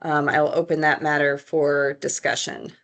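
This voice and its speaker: A woman speaks as the chair of a commission meeting. Her voice is on the low side and stays quite level. She keeps to formal, procedural phrasing. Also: fairly even intonation, a little slow, gravelly, a bit bright. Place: public commission meeting room.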